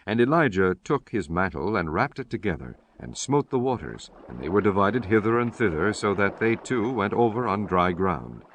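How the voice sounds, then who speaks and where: A man's voice: lowish voice, very animated, moderate pace, hardly any pauses, a little hiss or hum. A man narrating a dramatized scripture audiobook in a solemn, formal, scripted style. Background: music.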